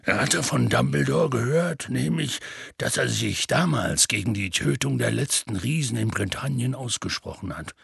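Storybook half-giant, big and rough, very husky and close-dry, weary, homespun, matter-of-fact storyteller. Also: male voice, mid-range voice, animated, moderate pace, fairly bright tone, no room sound, full character voice. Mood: rueful. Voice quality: husky, gravelly. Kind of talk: audiobook character dialogue.

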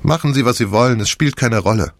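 A man's voice: mid-range voice, quick pace, no echo, voice pushed up, close to an everyday voice. A man reading an audiobook, voicing a shocked, weary young woman in a lifeless, resigned manner. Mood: listless. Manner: lifeless, flat delivery. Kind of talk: audiobook dialogue.

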